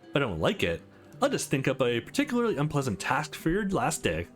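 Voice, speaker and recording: Man voicing sardonic, animated female boss. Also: mid-range voice, a little fast, faint music behind. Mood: teasing. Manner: teasing, probing. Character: sardonic, confident female business boss on a dinner date.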